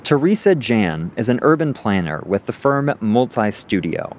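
A man's voice: medium pitch, big pitch swings, normal speed, background noise. A male reporter narrating a scripted radio feature, partly recorded outdoors in a city park.

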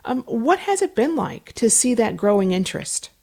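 A woman hosting a public radio interview show, introducing a news story and her guest in a measured, formal newsreader delivery. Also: a little high, very animated, creaky, darkish tone, slightly rough.

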